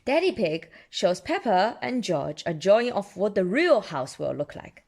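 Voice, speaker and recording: A woman storyteller reading children's picture books aloud in an expressive, deliberate way, adding her own small asides. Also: medium pitch, gravelly. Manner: expressive, deliberate delivery for toddlers. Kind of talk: children's read-aloud narration with ad-libbed asides.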